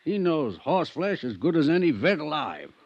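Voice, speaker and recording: An American actor playing an older country veterinarian in 1950s radio style, folksy, plain-spoken and stubbornly testy. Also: male voice, fairly high pitch, very animated, moderate pace, no echo. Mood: defensive, indignant. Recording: dull vintage radio recording.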